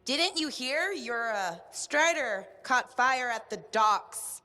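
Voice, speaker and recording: A woman's voice: sits high, slow pace, soft background music, exaggerated voice. A spirited, headstrong young adventurer in a video game. Her voice bounces around a lot, with a long reverb hanging on it. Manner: lively, headstrong.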